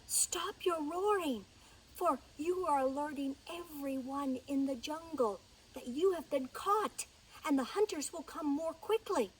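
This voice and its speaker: A woman's voice: high voice, unhurried, pitched above the performer's own voice, rough recording. A woman voicing a tiny, timid, polite mouse in an animal fable, pleading and stammering. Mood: urgent.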